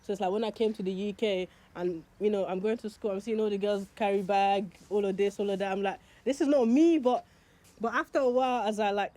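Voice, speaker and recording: A young woman from Nigeria talks casually in a documentary interview. Her tone is mellow and soft rather than bright. She tells her stories with lively bits of acted-out speech. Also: medium pitch, fairly quick. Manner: animated, acts out quoted speech. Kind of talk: casual storytelling to camera.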